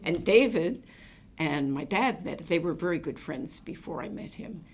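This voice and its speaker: A woman's voice: deep voice, lively intonation, normal speed, husky. An older woman from New Jersey, reminiscing in an oral history interview, telling meandering, reflective stories full of fillers. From New Jersey, USA. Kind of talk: reminiscing conversation.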